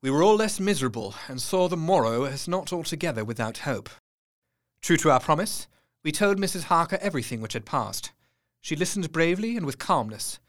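A man narrating an audio drama in a tense, measured storytelling voice with hushed dips. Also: fairly high pitch, expressive.

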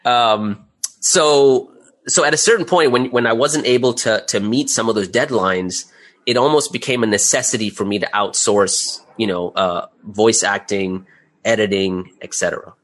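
A man's voice: fairly low pitch, moderate pace, fairly bright tone. Candid man telling his own story. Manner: candid, self-deprecating, chuckles while talking. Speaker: interview guest, audio drama creator.

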